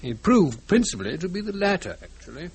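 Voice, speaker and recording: A man's voice: high-pitched, big pitch swings, brisk, husky, full character voice. A man playing a genteel retired colonel who chairs and narrates in an old-time radio drama, formal, precise and polite. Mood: reflective, faintly disapproving. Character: genteel, fussy retired British colonel, society president.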